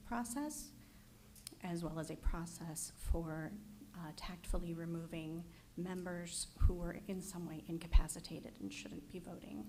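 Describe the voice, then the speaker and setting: A woman's voice: on the low side, slight background noise. A woman speaking as a resident at a council meeting, making a formal, tactful request. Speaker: resident at public comment. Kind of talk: public comment statement. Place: council chamber.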